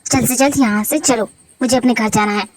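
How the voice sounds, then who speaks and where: A high, bright-pitched young woman, fiery and wounded, firing off heated accusations in a storybook reading voiced by a woman. Her lines come in rapid, pleading bursts. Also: steady tone, natural voice, low-quality recording. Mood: threatening.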